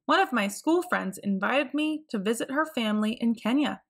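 Higher-voiced woman reading a children's storybook. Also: expressive, moderate pace. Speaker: children's picture book reader. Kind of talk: read-aloud storybook narration.

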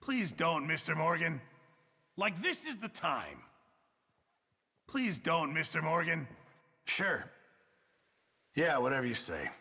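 An actor playing a put-upon, middle-aged camp cook in a Western video game, flustered, huffy and self-important, with a gravelly, husky voice. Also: male voice, on the high side, sing-song, slow pace, long pauses, bright, forceful tone, big-room sound, exaggerated voice. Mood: irritated. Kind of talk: video game character barks.

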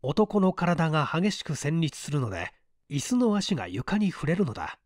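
A man reading mystery fiction as an audiobook narrator, deliberate and theatrical, with pauses. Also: on the high side, sing-song, dry recording, clean studio sound.

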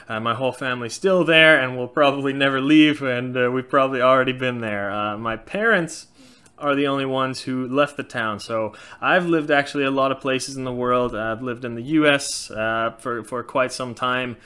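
A man's voice: medium pitch, moderate pace, clean tone. A man in his thirties from Norway with a slight Norwegian accent, hosting a casual Q&A from a small room and talking frankly and animatedly. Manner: frank, animated, gestures a lot. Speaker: channel host. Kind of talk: casual Q&A chat.

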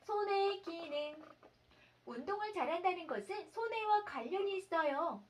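A woman's voice: very high-pitched, expressive, normal speed. A woman reading a children's science storybook aloud as a mother, expressively, explaining like a teacher and putting questions to the child.

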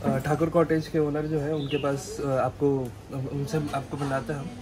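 Young man asking steady, level questions. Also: on the high side, normal speed, rough recording. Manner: polite, leads the questions. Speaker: vlog co-host interviewing an owner. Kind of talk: casual on-camera interview. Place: outdoors under a shed roof.

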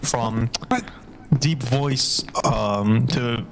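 A man reacting to the game with chuckles and animated remarks in casual voice chat. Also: medium pitch, drawn-out words, background noise. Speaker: gamer friend in voice chat. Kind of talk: casual voice chat while gaming. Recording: game voice chat over loud game music.

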